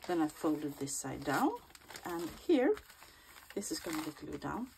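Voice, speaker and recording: A woman with a low, gravelly voice and a stuffy cold chats her way through a crafting session in her small craft room. She drifts between easy talk and half-whispered murmurs to herself. The recording carries steady background noise and clicks. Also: a little slow. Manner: chatty, often murmuring half-whispered asides while working. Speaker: crafting vlogger. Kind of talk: casual crafting walkthrough.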